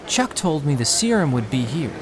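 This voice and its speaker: An actor playing a poor, anxious young man who narrates his own story in a quiet, melancholy, self-critical way. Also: fairly high pitch, very animated, moderate pace, heavy background noise. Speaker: narrator and lead character. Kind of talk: first-person audio drama narration.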